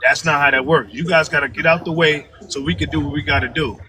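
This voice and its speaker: A man's voice: fairly high pitch, fairly even intonation, fast. A man calling in, arguing in a lecturing, confrontational way. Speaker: caller. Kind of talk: heated call-in argument. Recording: distant, noisy call audio.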